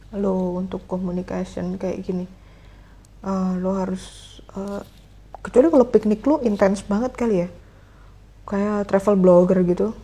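Woman host, 30s, husky dark tone, thoughtful, fills pauses. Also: medium pitch. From Indonesia. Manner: reflective, many fillers and pauses. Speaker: podcast host. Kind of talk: casual solo podcast talk.

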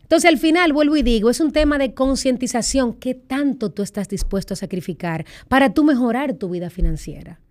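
A middle-aged woman with a Dominican accent, telling her own story in a candid interview, lively and full of quoted voices. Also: sits high, fairly quick. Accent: Dominican Spanish. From Dominican Republic. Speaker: guest.

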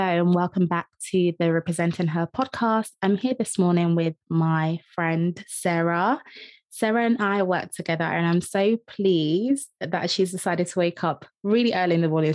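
A woman's voice: lowish voice, moderate pace, darkish tone, no room sound. Bubbly young woman podcast host. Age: young adult. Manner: bubbly and laughing often. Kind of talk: casual interview chat.

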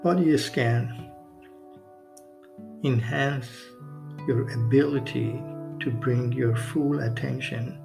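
A man hosting a guided meditation podcast, speaking non-native English in short, measured phrases with long pauses. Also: mid-range voice, fairly even intonation, vocal fry. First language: not English. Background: music.

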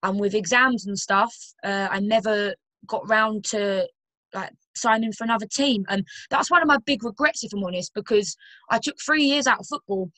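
A young woman from Romford with a London accent talks easily as a podcast guest. She rambles a little, with plenty of ums and self-corrections, at a middling pitch. The recording is dry and close. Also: moderate pace, bright, forceful tone, dry recording, low-quality recording. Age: 20s. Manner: chatty, with many fillers and restarts. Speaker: guest footballer and personal trainer. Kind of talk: casual podcast interview.

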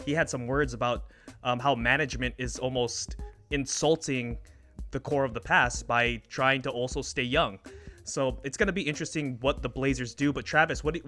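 Male podcast host, mid-pitched and notably bright, casual sports conversation with music underneath.

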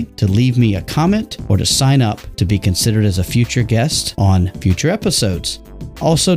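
A man's voice: fairly low pitch, darkish tone. A man hosting a podcast, reading his intro and interviewing a guest in an upbeat, enthusiastic way. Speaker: podcast host.

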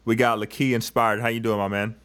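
A man's voice: lowish voice, level tone, a little fast, fairly bright tone, clean tone. A man hosting a podcast, talking in an upbeat, slangy, friendly way. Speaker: podcast host. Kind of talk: casual podcast interview.

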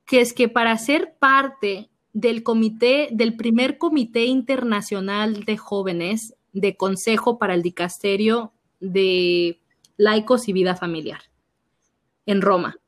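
A young woman with a Mexican accent, a youth minister sharing her faith story in an animated conversational way. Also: medium pitch, takes it slowly. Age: young adult. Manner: animated storytelling, acts out her own past reactions. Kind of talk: personal testimony in conversation.

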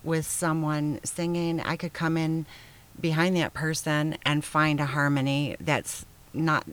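An older woman from Michigan, a singer-songwriter guest, answering questions hesitantly with many pauses and small laughs. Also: low-pitched, flat delivery. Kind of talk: casual interview chat.

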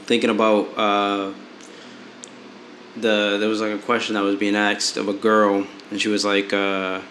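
Low-voiced blunt man in an echoey room. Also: monotone, measured pace, a bit bright. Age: 20s. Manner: blunt, rambling, frequent fillers and restarts. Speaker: podcast co-host. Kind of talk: casual advice chat. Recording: echoey room with faint noise.

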